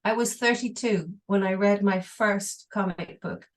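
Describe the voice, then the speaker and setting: A woman reading an English translation aloud, steady and careful, with brief pauses. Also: medium pitch. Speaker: interpreter.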